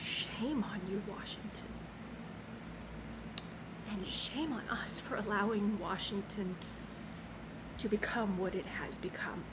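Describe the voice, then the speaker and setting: A woman telling a personal story in an earnest, impassioned way, swinging from soft to loud. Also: medium pitch, slow, pauses often, background noise. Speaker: passport office clerk. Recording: loud music under the voice.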